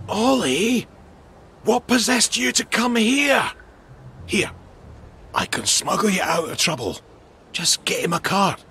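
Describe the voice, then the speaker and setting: An actor playing a friendly, sly, haggling army cook in a video game. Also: male voice, high-pitched, expressive, slow pace, pauses often, sharp tone, heavily acted. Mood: helpful. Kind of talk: video game character acting. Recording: noisy vehicle ambience behind.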